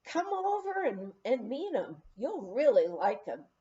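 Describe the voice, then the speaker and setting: Woman putting on a cocky, high-pitched cowboy lad. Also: big pitch swings, measured pace, warm tone, dull audio. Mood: breezy confidence. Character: cocky, cheerful boy turned young cowboy.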